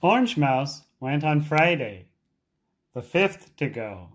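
An English teacher reading a storybook aloud for learners, with deliberate, expressive and emphatic phrasing. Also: mid-range voice.

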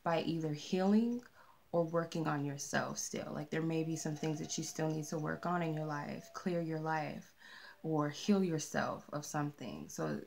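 Soft-spoken woman with a gravelly low voice. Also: moderate pace. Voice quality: soft mellow voice. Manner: chatty, rambling, many fillers. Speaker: tarot reader. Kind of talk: casual channeled reading. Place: candlelit room with a tarot table. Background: R&B music playing.

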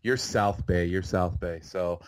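A man in his thirties from California hosting a casual podcast interview over a video call, talking in a relaxed, rambling way with many fillers. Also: sits low, a little slow, clear, resonant tone. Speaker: podcast host.

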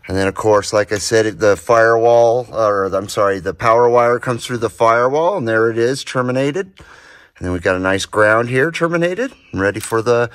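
An American man narrating a casual hobby project vlog in a folksy, informal way. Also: on the low side. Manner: folksy, lightly swearing, informal. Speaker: host of a hobby radio channel. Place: home garage, indoors.

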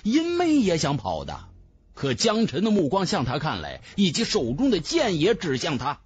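A man's voice: a little high, wildly swooping pitch, moderate pace, slightly rough. A man narrating a fantasy audiobook in a dramatic scripted style.

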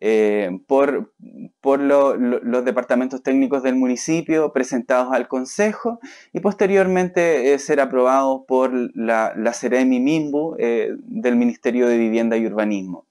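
A man's voice: medium pitch, darkish tone. A man in his thirties with a Chilean accent explaining something very hesitantly as an official in a news interview. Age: 30s. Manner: very hesitant, drawn-out pauses. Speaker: municipal works director. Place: office indoors. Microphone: lapel microphone.

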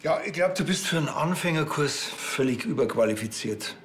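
A man's voice: a little high, lively intonation, fairly quick, background noise, close to an everyday voice. An actor playing a grumpy village policeman with a Bavarian accent and a dry, sardonic way of talking. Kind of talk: naturalistic comedy-crime dialogue.

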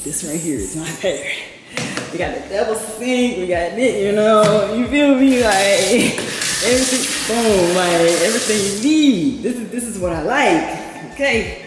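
A woman's voice: animated, unhurried, few pauses, noisy background. A woman vlogger giving a chatty, upbeat tour of her new apartment, filming herself with a handheld camera. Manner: chatty, upbeat, says "boom" and "period" a lot. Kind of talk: casual apartment tour vlog. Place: empty apartment, indoors. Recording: echoey empty room with music behind. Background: background music.

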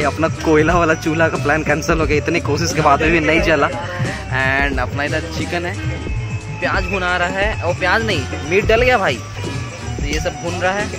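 A young man from Jharkhand, India, with a high voice talks eagerly to his viewers on a trip into the woods, calling them brother and friend. He records outdoors on an action camera in his hand. Clear music runs under his voice. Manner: excited, chatty, addresses viewers as bhai and yaar. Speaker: travel vlogger. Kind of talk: casual travel vlog narration. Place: outdoors at a forest picnic spot by a waterfall. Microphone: action camera or phone held by the speaker.

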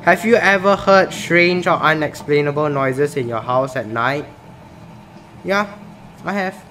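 A man's voice: a little high, a little slow, bright, forceful tone, a little hiss or hum. A young man from Singapore who plays a game on camera, reads its questions aloud and reacts casually, often saying "bro". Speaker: gaming YouTuber. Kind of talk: casual gameplay commentary. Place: small room indoors.

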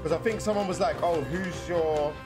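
A young man giving casual, slangy, excitable reaction commentary with some swearing, as a streamer at home. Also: a little high, moderate pace. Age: young adult. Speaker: reaction video host and streamer. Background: game trailer music and sound.

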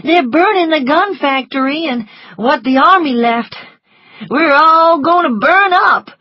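A woman voicing a frightened, excitable young maid in an audiobook story, wailing and urgent. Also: high voice, measured pace, no room sound, muffled recording, pitched above the performer's own voice. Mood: panicked.

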